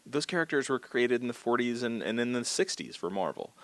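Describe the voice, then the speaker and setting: A man interviewing in a casual, conversational way, animated about his subject and often restarting his sentences. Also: medium pitch, a little fast.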